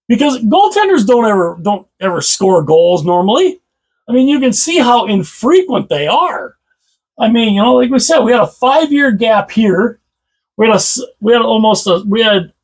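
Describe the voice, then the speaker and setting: Man, high voice, a little hoarse, rambling, repeats himself, keen hockey host. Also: darkish tone, rough recording. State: slightly hoarse. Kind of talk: casual solo commentary to camera.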